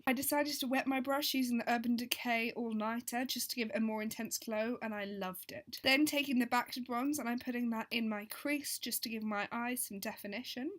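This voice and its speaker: A young woman with a slightly high voice narrates her makeup routine as a voiceover. Her throat is still croaky from a recent tonsillectomy. She gushes about every product she uses. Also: a little fast, few pauses, a bit bright. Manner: gushing, enthusiastic product commentary. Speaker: beauty vlogger.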